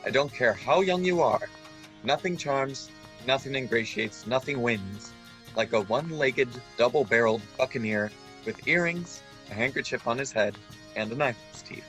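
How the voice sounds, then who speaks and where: A man playing an earnest storyteller who recalls a boyhood adventure, narrating a radio play in a measured, literary way. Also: mid-range voice, lively intonation, fairly bright tone, faint music behind, lightly acted. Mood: introductory, theatrical.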